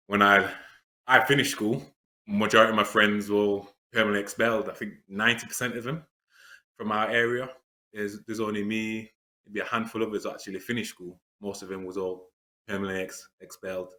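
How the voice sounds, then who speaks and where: A man, talking earnestly in an interview. His voice sits low but has a bright, forceful edge. He pauses on fillers and restarts his sentences often. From England. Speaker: interview guest. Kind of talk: reflective conversational interview.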